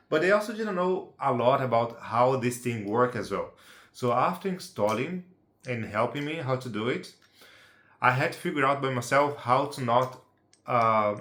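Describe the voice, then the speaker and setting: A man's voice: mid-range voice, fairly bright tone, reverberant. A man from Brazil with a Brazilian Portuguese accent, speaking English as a second language, giving a casual unedited how-to walkthrough and checking in with the viewer through tag questions. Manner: chatty, checks in with tag questions like "right?" and "yeah?". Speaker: amateur how-to presenter.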